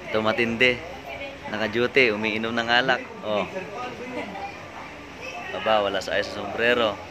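A man with a Filipino accent giving slow, joking running commentary as he tours a floating restaurant outdoors at night. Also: fairly low pitch, little pitch movement. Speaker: vlogger. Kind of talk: casual touring commentary. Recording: loud music in the background. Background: music playing.

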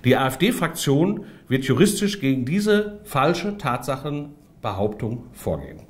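A man's voice: mid-range voice, sing-song, unhurried. A man delivering a prepared political statement in a formal, stiff and emphatic manner. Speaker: politician, party spokesman.

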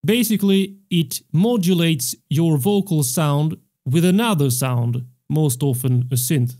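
Slow-paced, mellow young man teaching. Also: on the high side. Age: young adult. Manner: measured, step-by-step explaining. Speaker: music production tutorial host. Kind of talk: tutorial narration. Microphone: close studio microphone on a stand.

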